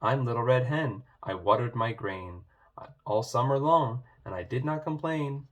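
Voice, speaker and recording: A man voicing a hardworking, proud and reproachful little red hen in a measured rhyming storybook recitation. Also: mid-range voice, a bit bright, lightly acted. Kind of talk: children's storybook read-aloud.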